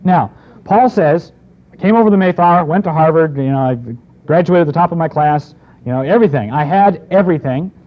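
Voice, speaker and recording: A man gives an expository sermon in a relaxed, conversational manner. His voice sits a bit high for a man, and he often restarts a phrase or drops in a quick aside. The recording is dull and lo-fi. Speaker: preacher. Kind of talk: expository Bible teaching.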